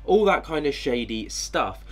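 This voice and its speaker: A man's voice: mid-range voice, big pitch swings, unhurried. A man with a head cold and a nasal, stuffy voice, rambling casually as a channel host with many fillers. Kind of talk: casual commentary on a video.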